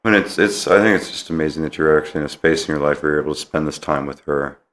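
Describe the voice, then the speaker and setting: A man's voice: extremely low pitch, fast talker, fairly bright tone. An American man hosting a casual interview podcast, speaking in a supportive, affirming way. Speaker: podcast host.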